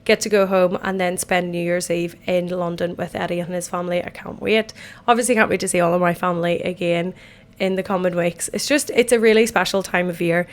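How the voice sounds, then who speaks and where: Irish girl in her twenties, croaky voice, rambly chatty self-deprecating podcast talk from the bath. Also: on the low side, steady tone, brisk. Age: 20s. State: croaky from a night out. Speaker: podcast host. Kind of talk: casual solo podcast chat. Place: empty bathtub at home.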